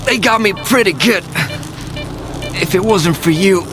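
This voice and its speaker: An actor playing a weary, fatalistic male guide in a video game cutscene, speaking in a deliberate, reflective way. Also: sits high, over music. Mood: weary, earnest.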